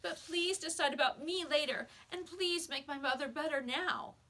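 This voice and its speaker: A woman's voice: high voice, moderate pace, pushed and bright, pitched above the performer's own voice. A woman voicing a frantic young boy in a storybook, pleading urgently and emphatically. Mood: desperate pleading.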